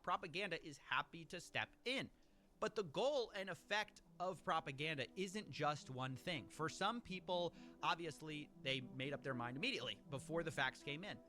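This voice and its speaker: A man narrating a scripted video essay in a measured, explanatory way. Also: a little high, animated, bright, forceful tone. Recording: narration over music from a played video.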